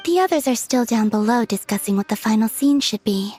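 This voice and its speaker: A gentle, soft-spoken young woman, voiced by a woman in a video game, high in pitch and hesitant, her words at times fading into a whisper. Quiet music sits faintly behind her. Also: expressive, brisk, close to an everyday voice.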